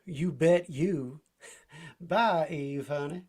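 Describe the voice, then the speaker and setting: A man's voice: on the high side, extremely slow, darkish tone, slightly rough, heavily acted. A man playing a folksy, slangy American sidekick in a 1940s-style radio drama. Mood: gleeful. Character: folksy, eager tough-guy sidekick called Doc.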